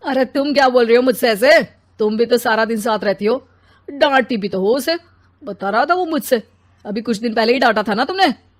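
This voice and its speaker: A woman's voice: a little high, normal speed, lightly acted. A woman voicing a grumpy, lazy, self-important husband and father who complains and makes demands in a story. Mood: grumbling.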